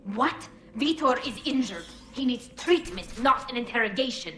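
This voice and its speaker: A woman's voice: a little high, animated, normal speed, sharp tone, echoey room, slight background noise. An actress playing an earnest, protective young alien woman in a video game. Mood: indignant, pleading.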